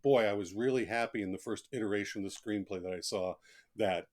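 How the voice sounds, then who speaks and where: A man with a fairly low voice talks as an interview guest. He is talkative and conversational, reflecting on his experience as an author. His explanations come in plain, informal asides. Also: brisk, a little mellow.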